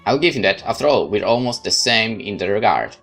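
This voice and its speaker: A man narrating a horror visual novel in first person, calm, measured and brooding. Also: fairly low pitch, soft background music. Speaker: let's play host narrating a visual novel.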